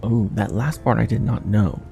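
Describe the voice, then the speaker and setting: A man's voice: lowish voice, fairly even intonation, moderate pace, gravelly, background noise. A man hosting a gaming video, reading game dialogue aloud and adding casual commentary. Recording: faint game music behind.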